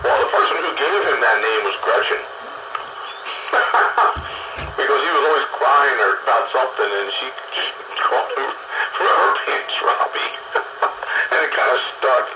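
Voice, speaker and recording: A man's voice: mid-range voice, sing-song, moderate pace, long pauses, lo-fi sound. A middle-aged or older man chatting casually over two-way radio, rambling about technical details like a hobbyist. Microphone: radio transceiver microphone. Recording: noisy, band-limited two-way radio transmission.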